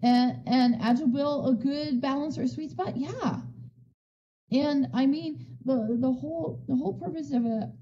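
A woman speaking on a conference panel in a casual, excitable way, restarting her sentences often and laughing. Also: high-pitched, moderate pace, dull audio. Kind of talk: conversational panel talk. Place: virtual world session via voice chat.

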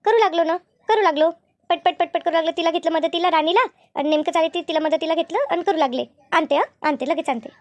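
A woman voicing a bossy, sly elder sister-in-law in a cartoon family drama, brisk and repetitive as she instructs. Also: very high-pitched. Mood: placating, sly. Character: bossy, two-faced elder sister-in-law running the kitchen.

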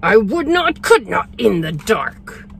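A grumpy, stubborn storybook fellow who refuses his food, acted out by a woman. The lines come in emphatic rhymed refusals with a very lively, swinging pitch. There is some noise from inside a vehicle. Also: takes it slowly, creaky, exaggerated voice.

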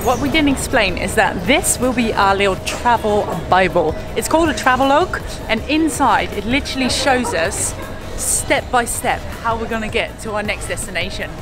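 A woman's voice: on the high side, animated, normal speed. A young woman vlogging a trip to a handheld camera, bubbly and gushing. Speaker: travel vlogger. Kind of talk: casual travel vlog to camera. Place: on the move, trains, restaurants and outdoors. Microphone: handheld camera at arm's length. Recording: background music under voice.

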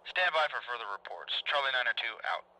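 A man's voice: medium pitch, lively intonation, brisk, pushed and bright. A man playing an alert soldier, giving clipped patrol reports over a military radio. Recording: noisy military radio transmission.

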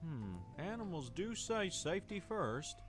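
A man voicing a naive, kindly, trusting guinea pig with a gentle, hesitant, courteous manner in a cartoon game. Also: on the high side, very animated, measured pace, faint music behind. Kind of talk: cartoon adventure game voice acting.

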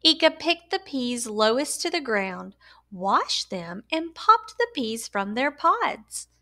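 A woman reads a children's story aloud in a high voice. Her intonation swings widely and expressively. She goes slowly and deliberately, giving words emphasis for young listeners. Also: big pitch swings, sharp tone. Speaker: storyteller reading a picture book aloud.

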